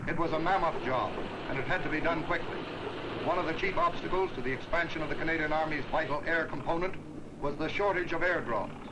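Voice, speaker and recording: A man narrating a wartime newsreel in a brisk, stirring announcer's delivery. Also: a little high, very noisy. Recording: old newsreel soundtrack with loud music.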